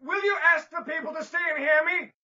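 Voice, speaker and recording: An actor playing an indignant, combative small-town editor in an audio drama, loud and argumentative. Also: male voice, extremely high pitch, fast, full character voice. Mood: demanding. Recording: muffled, distant, crowd behind.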